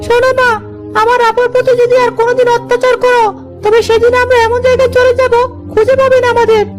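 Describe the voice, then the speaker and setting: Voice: very high-pitched, level tone, fast talker. A performer voicing a young village boy, an earnest, polite and protective brother in a moral-story cartoon. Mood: firm, protective. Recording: loud music bed under voice.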